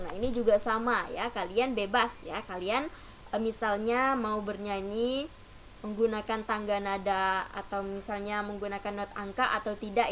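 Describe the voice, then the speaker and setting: A woman's voice: a little high, a bit bright. A woman teacher giving a patient lesson to young children, often opening sentences with "nah". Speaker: primary school teacher. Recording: faint hiss.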